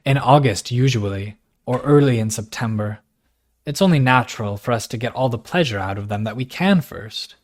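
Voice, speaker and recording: A man voicing a frank, impulsive young woman from a wealthy family, speaking high and fast. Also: natural voice. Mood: matter-of-fact. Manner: high, passionate, rushed.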